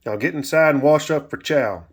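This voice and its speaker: Guy playing an angry old country grandpa in a story, preachy, bossy, barking loud warnings. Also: mid-range voice, normal speed, lightly acted. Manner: preachy, commanding, rustic speech. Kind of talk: dramatic storytelling.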